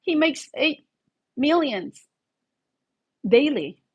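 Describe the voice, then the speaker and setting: A woman's voice: high voice, lively intonation, drawn-out words, a little mellow, dull audio. A woman from Mexico with a Mexican accent and Spanish as her first language hosts an informal solo vlog, talking in a rambling, conversational way and often checking for agreement.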